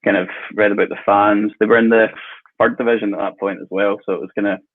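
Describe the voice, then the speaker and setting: A man's voice: lowish voice, little pitch movement, quick pace. A man with a Glasgow Scottish accent, a football fan guest chatting casually on a podcast, easygoing with filler words. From Scotland. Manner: easygoing, with frequent fillers and light laughs. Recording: tinny phone-like call audio.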